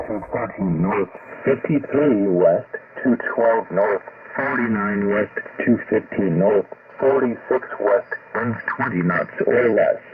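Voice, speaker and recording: A man reading a marine weather forecast in a mechanical, list-like way, heard over a tinny shortwave radio with static. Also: lowish voice. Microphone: received over shortwave radio.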